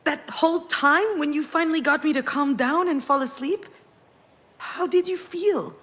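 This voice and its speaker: An actress playing a grieving, self-reproaching woman in a video game drama, speaking in an earnest, reflective, halting way. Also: high-pitched, fairly bright tone. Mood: remorseful. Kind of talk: dramatic visual novel voice acting with inner narration.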